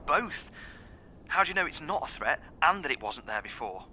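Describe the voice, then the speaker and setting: A man's voice: a little high, very animated, moderate pace, sharp tone, a little hiss or hum, exaggerated voice, low-quality recording. A British man acting in an audio drama as a cautious, dutiful bunker head engineer with formal wording. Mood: wary.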